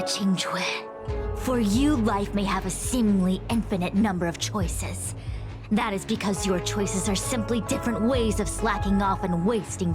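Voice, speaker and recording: A woman voicing a solemn, fatalistic master diviner in a game, speaking in a measured, lecturing way. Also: medium pitch, close to an everyday voice. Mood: stern, burdened. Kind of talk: scripted game voice acting. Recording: background music under the voice.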